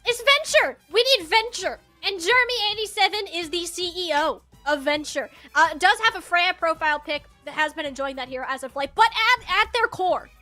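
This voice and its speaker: A woman's voice: extremely high pitch, lively intonation, brisk, hardly any pauses, pushed and bright. A woman casting esports, chatting through a match preview in a playful, emphatic way. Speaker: esports broadcast caster. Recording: music playing behind. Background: background music.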